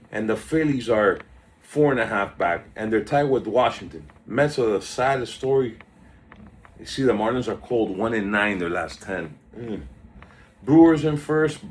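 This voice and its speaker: Man, low voice, casual stock market host, rambling, reads out tickers, phone recording, faint background noise. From South Florida. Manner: chatty, drifts off topic, reads out stock tickers.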